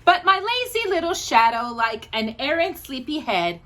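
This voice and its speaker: A woman hosting a children's storytime, reading aloud in an animated, sing-song manner. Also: high voice, normal speed, slight background noise.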